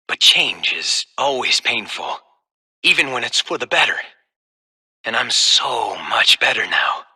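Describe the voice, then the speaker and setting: A man playing an unhinged, paranoid, vengeful villain with a husky voice, delivering a video game monologue. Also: mid-range voice, sharp tone, full character voice.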